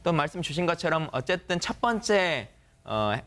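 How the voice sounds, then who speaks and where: A man's voice: a little high, very animated, normal speed, fairly bright tone. A man on a news panel explaining in formal, polite phrasing. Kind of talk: panel analysis.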